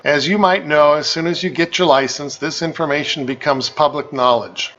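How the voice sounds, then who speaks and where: A man's voice: on the high side, a bit bright. A man giving an informal tutorial as a channel host, explaining things in a methodical, plain-spoken way. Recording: slightly dull, lo-fi sound.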